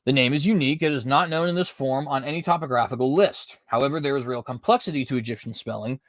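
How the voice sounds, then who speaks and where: A man's voice: mid-range voice, fast, a bit bright, no room sound, clean studio sound. A man hosting a podcast, reading prepared commentary aloud with frequent fillers and self-corrections. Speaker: podcast host. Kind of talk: apologetics commentary reading notes.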